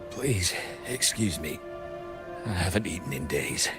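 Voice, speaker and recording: An actor playing a weak, starving shipwreck survivor in a video game, halting and sighing with relief and gratitude. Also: male voice, low voice, animated, music in the background. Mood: weary gratitude. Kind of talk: dramatic video game voice acting.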